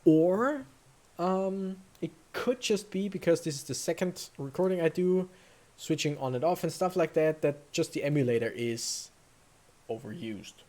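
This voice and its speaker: A woman giving casual let's play commentary, thinking aloud in a halting, rambling way. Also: deep voice, a little mellow. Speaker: let's player commentating a video game.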